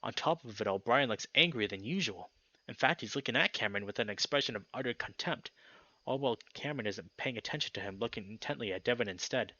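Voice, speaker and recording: A man's voice: mid-range voice, lively intonation, fast, bright, forceful tone, dry recording, highs cut off. A young man reading a visual novel aloud as a dramatic story narration for a let's play. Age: young adult.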